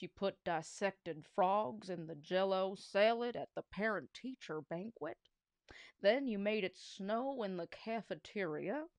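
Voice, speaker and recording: A woman's voice: medium pitch, smooth, no room sound. Woman voicing a smug scheming male principal. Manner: smug, slow and menacing. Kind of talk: storybook read-aloud.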